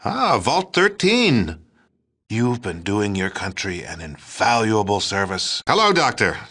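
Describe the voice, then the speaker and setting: Pompous, bright-voiced American politician. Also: male voice, on the low side, big pitch swings, unhurried, breathy edge, exaggerated voice. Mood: hearty, genial. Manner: pompous, declamatory, hesitant stammering when cornered. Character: pompous, folksy, smug middle-aged male politician, president. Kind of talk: video game dialogue acting.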